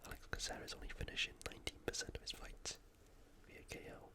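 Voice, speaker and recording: A host giving casual, unhurried sports commentary in a breathy, unvoiced ASMR whisper. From United Kingdom. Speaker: host of ASMR fight preview videos.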